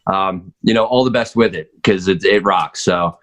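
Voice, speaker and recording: Low-voiced American man in his forties. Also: normal speed, a bit bright, low-quality recording. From North Wales, Pennsylvania, United States. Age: 40s. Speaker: podcast guest, drummer. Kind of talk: casual chat.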